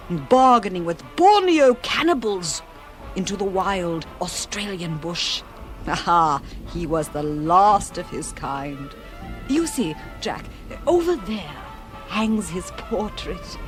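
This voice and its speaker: A woman's voice: lowish voice, sing-song, faint music behind. A grand older widowed lady in a radio play. She speaks wistfully and unhurriedly, with dramatic rises and falls, as if savouring every phrase. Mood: wistful, romantic. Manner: slow, dramatic storytelling.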